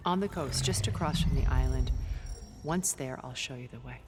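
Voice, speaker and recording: A woman playing a secretive older strategist in a game cutscene, speaking in a measured, formal way. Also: very deep voice, noisy background. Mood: composed, warning. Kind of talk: video game cutscene acting.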